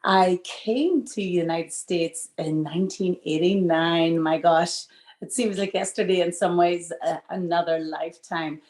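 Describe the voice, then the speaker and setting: Woman, 50s, low voice, storytelling lawyer, webcam in an echoey room. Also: steady tone, measured pace, darkish tone. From Northern Ireland. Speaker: estate planning lawyer, guest. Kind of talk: video call interview. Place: home room with shelves. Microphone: video call webcam.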